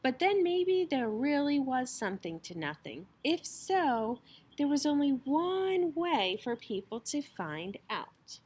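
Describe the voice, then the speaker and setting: High, animated woman reading a story. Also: slow. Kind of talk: expressive children's story reading.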